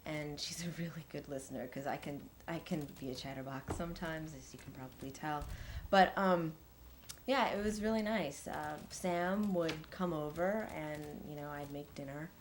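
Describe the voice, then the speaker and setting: Woman acting as a hesitant, chatty, low-voiced woman. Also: moderate pace, slight background noise, close to an everyday voice. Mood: fond, hesitant. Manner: hesitant, rambling, conversational. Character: friendly, chatty young woman giving a personal testimony.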